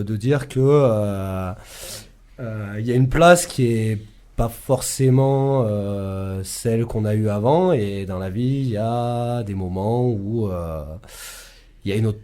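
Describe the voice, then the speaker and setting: Halting, reflective man guest. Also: on the low side, mellow. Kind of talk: casual studio chat.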